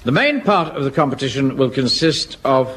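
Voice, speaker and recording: An actor playing a pompous, fussy middle-aged bank manager who commands a home guard platoon, in an old radio comedy. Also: male voice, medium pitch, sing-song, moderate pace. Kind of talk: 1970s radio comedy acting. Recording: old radio recording with loud hiss.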